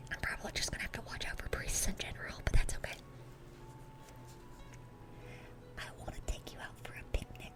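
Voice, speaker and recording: A woman's voice: fairly high pitch, natural voice. A woman playing a dorky, sweet-natured young demon girl in an ASMR roleplay, whispering in a rambling, chatty, earnest way. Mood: sweet, excited. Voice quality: breathy, unvoiced whisper.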